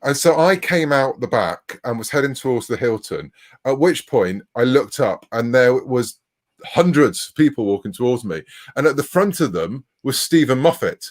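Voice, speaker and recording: A man's voice: mid-range voice, sing-song, moderate pace. A man from Bristol with an English accent, chatting casually as an interview guest in a wry, self-deprecating way. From Bristol, England. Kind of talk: casual anecdotal chat.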